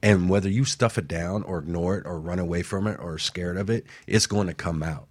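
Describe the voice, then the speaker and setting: Deep, husky motivational man. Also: fairly quick, dry recording. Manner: preachy, emphatic, repeats phrases. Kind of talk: motivational radio talk.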